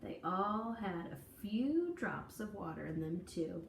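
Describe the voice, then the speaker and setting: Animated woman reading a children's storybook aloud. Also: medium pitch, takes it slowly, gravelly. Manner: folksy, dramatic storytelling delivery. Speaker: storybook reader. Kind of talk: expressive read-aloud of a children's picture book. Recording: echoey room, distant mic, faint noise.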